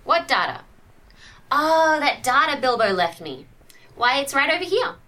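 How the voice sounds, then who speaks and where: A woman's voice: high-pitched, very animated, unhurried, sharp tone, exaggerated voice. A woman playing a sarcastic, exasperated young hobbit postgraduate in an amateur comic pantomime.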